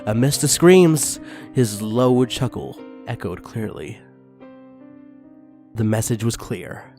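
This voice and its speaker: A man reads a story aloud for his channel, his pitch rising and falling a lot. His asides are excitable and crude, and faint music plays underneath. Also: takes it slowly. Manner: excitable and crude in the intro, then steady reading. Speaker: channel host and reader. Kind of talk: reading fanfiction aloud.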